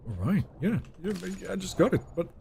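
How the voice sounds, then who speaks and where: A man's voice: high voice, expressive, a little fast, mellow, a little hiss or hum. A young man whose first language is Finnish, casually chatting with viewers and reading their messages during a live game stream from a home setup. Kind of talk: live gameplay stream, reading chat aloud.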